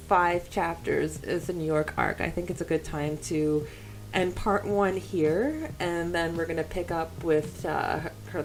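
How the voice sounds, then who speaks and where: A woman's voice: fairly low pitch, a little hiss or hum, poor audio. A woman co-hosting a casual fan podcast, chatting and reading out listener comments in a giggly, enthusiastic way. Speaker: podcast co-host.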